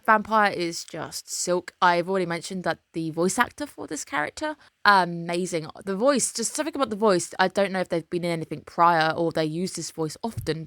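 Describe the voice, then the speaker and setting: A woman's voice: on the low side, fairly bright tone, no room sound, clean studio sound. Slightly ill woman reviewing anime. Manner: opinionated, chatty, emphatic dislikes. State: slightly ill, under the weather. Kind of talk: casual anime episode breakdown.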